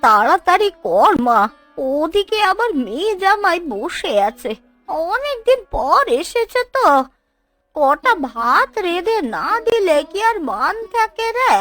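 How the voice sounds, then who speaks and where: A woman voicing a touchy, nagging old village aunt in a cartoon, with folksy, drawn-out scolding. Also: very high-pitched, big pitch swings, fairly bright tone, no echo, soft background music, heavily acted. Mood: fussy. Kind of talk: Bengali cartoon dubbing.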